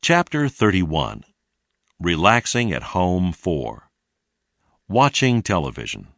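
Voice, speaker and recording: A man reading scripted narration for an English language lesson in a deliberate, carefully enunciated way. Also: low voice, huge pitch swings, a bit bright, no room sound.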